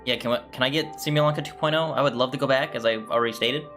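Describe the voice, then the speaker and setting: A man's voice: medium pitch, fast, fairly bright tone. Casual male gaming streamer over game music. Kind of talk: casual let's play commentary.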